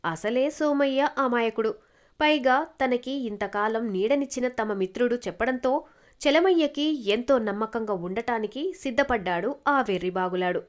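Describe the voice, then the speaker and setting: A woman's voice: fairly high pitch, moderate pace. A woman narrator reading a scripted moral story.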